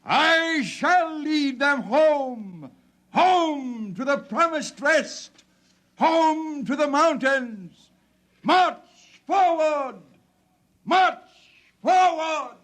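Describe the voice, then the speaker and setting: An actor playing an old, solemn male Martian elder who speaks slowly in a preaching, scriptural way, in 1950s radio drama style. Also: very high voice, expressive, fairly bright tone, exaggerated voice. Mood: exalted.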